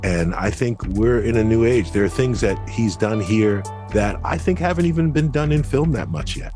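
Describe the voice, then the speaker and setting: A man co-hosting a podcast, giving thoughtful, measured opinions in a conversational style. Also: on the low side, animated, music in the background. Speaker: podcast co-host.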